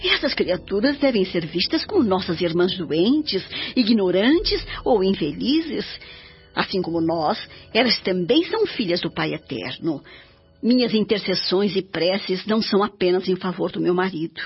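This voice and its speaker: A woman playing a serene, devoted older mother spirit in a radio drama, speaking in a solemn, measured, instructive way. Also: mid-range voice, lively intonation, husky. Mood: gentle, earnest. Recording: dull radio drama track with music.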